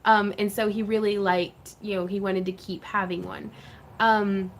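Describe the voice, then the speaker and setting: A woman's voice: medium pitch, little pitch movement, a little slow, a little hiss or hum. A woman hosting a craft livestream, chatting casually and laughing often as she greets viewers. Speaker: craft channel host.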